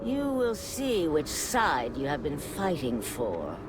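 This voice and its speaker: Slow, defiant rebel noblewoman. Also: low voice, wildly swooping pitch, heavy background noise, heavily acted. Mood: grave, urgent. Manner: grave, deliberate, commanding. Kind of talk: video game cinematic acting. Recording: music behind the voice.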